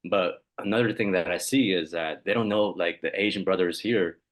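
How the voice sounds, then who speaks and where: A man's voice: low voice, moderate pace. A man on a dating coach panel, talking in an assured way and building on others' points. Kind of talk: casual panel discussion.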